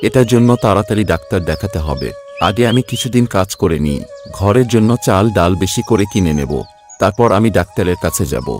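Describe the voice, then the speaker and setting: Guy playing a worn-out poor cartoon labourer, lowish voice, humble and plaintive, bit of music behind. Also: expressive, fairly quick, a little mellow, lightly acted. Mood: weary. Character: poor, weary, worried male day labourer, husband. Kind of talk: cartoon story voice acting.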